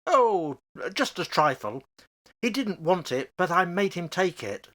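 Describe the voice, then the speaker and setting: A male actor plays a genial family father in a radio drama. His voice moves up and down in a lively way, hesitant and good-humoured, with a stubborn streak. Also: sits high, a little slow, full character voice. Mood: musing, amused. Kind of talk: radio play acting.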